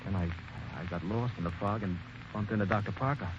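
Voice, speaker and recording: An actor playing a nervous, stammering, defensive suspect in a 1940s radio mystery. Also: male voice, fairly low pitch, quick pace, natural voice. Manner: stammering and jittery. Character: nervous, defensive male former employee. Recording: dull old radio recording with hiss.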